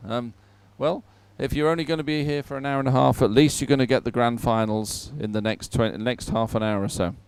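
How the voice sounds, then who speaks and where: A man presenting a live sports event off the cuff, in a chatty, somewhat halting manner. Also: mid-range voice, fairly even intonation, clear, resonant tone, no room sound.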